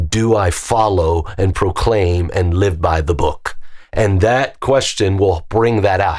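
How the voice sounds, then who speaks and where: A man with a West Virginian accent, a pastor talking on a podcast and driving his points home with strings of emphatic rhetorical questions. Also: sits low. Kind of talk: podcast discussion.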